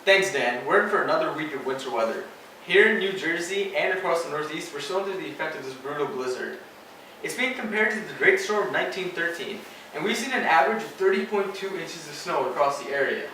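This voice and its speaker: A man's voice: a little high, expressive, brisk, sharp tone. A young man working as reporter and interviewer in a scripted newscast parody. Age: young adult. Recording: very echoey room, distant, some noise.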